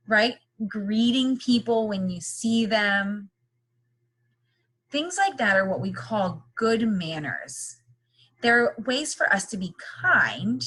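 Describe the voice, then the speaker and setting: Bright-voiced woman teaching, slightly husky. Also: on the high side, poor audio. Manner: simple explanations with repeated questions and check-ins. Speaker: teacher reading a picture book aloud. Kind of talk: interactive read-aloud lesson for young children.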